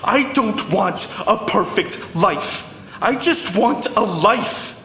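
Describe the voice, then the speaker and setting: An actor playing a spoiled, pressured teenage boy, indignant and petulant, in an animated drama. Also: high voice, slow pace, full character voice. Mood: frustrated, hurt. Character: spoiled, pressured teenage son of a powerful boss. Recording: echoey hall with faint noise.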